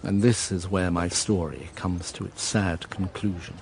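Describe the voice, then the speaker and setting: An actor playing a melancholy gentleman narrating his own past in a radio drama, measured, understated and reflective. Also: low-pitched, steady tone, gravelly, slight background noise. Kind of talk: first-person dramatic narration.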